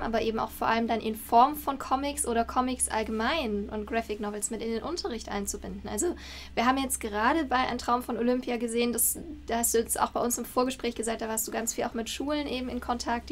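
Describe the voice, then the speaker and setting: A woman's voice: fairly high pitch, steady tone, brisk. A woman in her thirties interviewing conversationally on a video call, with wordy, roundabout questions. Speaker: interviewer, university lecturer. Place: room with bookshelves. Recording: clear background noise, hum.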